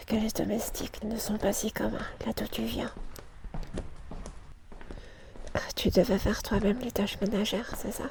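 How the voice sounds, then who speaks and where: Man as a young vampire aristocrat, ASMR roleplay, hushed whispery delivery, formal and hesitant, predatory coaxing, little pitch movement. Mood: courteous, probing. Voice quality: soft, breathy whispering.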